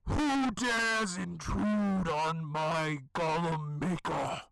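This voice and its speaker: A menacing construct of living steel in a tabletop game. It speaks extremely slowly in a high, gravelly voice, making grandiose villainous threats. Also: male voice, crowd noise, full character voice, low-quality recording. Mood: menacing, haughty. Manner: slow, grandiose, theatrical threats. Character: menacing steel golem predator, ancient villain speaking into minds.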